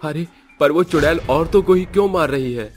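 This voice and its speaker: A man's voice: on the high side, normal speed, soft background music, pitched above the performer's own voice, close to an everyday voice. A man voicing frightened, bewildered village men in a horror story, agitated and dramatic. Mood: distressed.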